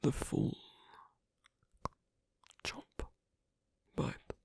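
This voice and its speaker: A performer voicing a shy, asocial werewolf on a first date in an ASMR roleplay, whispery, breathy and hesitant. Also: a little mellow, no room sound, clean studio sound. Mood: hesitant, confiding. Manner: hesitant, halting.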